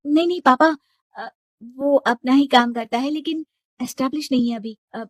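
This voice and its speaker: High-voiced headstrong young daughter played by a woman. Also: normal speed, no echo. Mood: pleading. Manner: insistent, emotional pleading. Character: headstrong young rich daughter, sincere and lovelorn.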